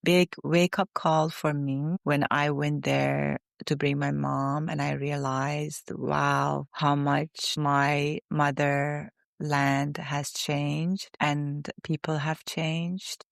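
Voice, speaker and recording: A woman, speaking as an interview guest in a reflective, halting way. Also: sits low, flat delivery, creaky, no room sound, studio quality. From Iran. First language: Farsi. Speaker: guest psychotherapist. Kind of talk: conversational interview.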